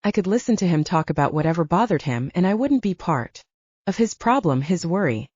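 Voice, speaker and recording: Female audiobook narrator, low voice, animated first-person telling; dry, dull lo-fi sound. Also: a little fast, slightly rough, dry recording.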